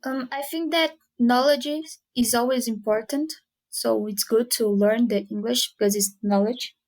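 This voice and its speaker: A woman from Brazil, a student guest on a podcast, speaking English with a Brazilian accent and pausing to find her words. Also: fairly high pitch, steady tone, darkish tone. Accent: Brazilian Portuguese. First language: Portuguese. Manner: searching for words, halting. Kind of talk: casual podcast chat.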